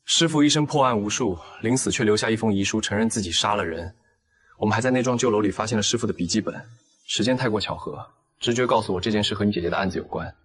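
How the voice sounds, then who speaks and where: A man playing a restrained, protective police captain in an animated drama. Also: fairly low pitch, quick pace, natural voice. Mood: somber. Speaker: police detective. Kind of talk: audio drama acting for an animated story.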